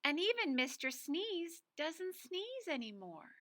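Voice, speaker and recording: Bright, animated woman reading a children's story. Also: very high-pitched, takes it slowly. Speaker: storybook reader, school staff. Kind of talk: expressive children's read-aloud.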